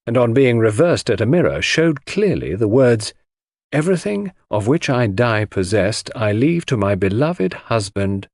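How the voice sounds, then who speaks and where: A man reading a classic detective story as scripted narration, measured and dramatic in his storytelling. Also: lowish voice, a little mellow, husky, no room sound, studio quality. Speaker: audiobook narrator.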